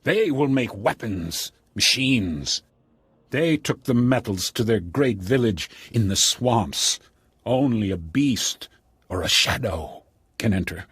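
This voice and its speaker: Solemn, husky alien chief. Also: male voice, fairly low pitch, animated, fairly bright tone, no echo, studio quality, full character voice. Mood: grave. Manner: formal, measured, ceremonious. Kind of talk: video game dialogue.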